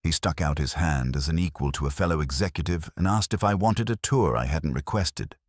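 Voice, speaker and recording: A man with a gravelly, husky voice, narrating a scripted detective story in a wry noir manner. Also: rumbling low, a little fast, no echo, clean studio sound. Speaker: audiobook narrator.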